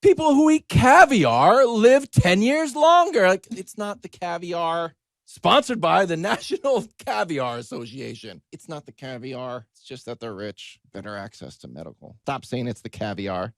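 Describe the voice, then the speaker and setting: A man's voice: fairly high pitch, normal speed, no echo, clean studio sound. A man hosting a sports talk show, telling stories in a conversational, comedic and emphatic monologue. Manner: emphatic, animated, acts out quoted exchanges.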